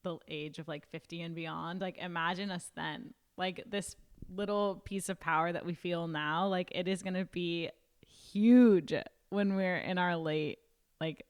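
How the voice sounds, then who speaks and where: A woman in her twenties co-hosts a podcast in a relaxed, reflective chat, leaning on "like" as she thinks aloud. Her voice is smooth and clear, sitting a little low for a woman. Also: unhurried. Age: 20s. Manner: reflective, says "like" often. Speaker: podcast co-host. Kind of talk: casual chat.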